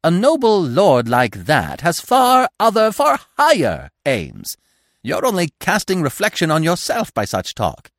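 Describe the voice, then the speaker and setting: Man putting on an excitable high-pitched chattering squirrel. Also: moderate pace, dry recording, clean studio sound, heavily acted. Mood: scolding. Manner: chattering, gushing, emphatic. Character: chatty, excitable, fussy male young squirrel.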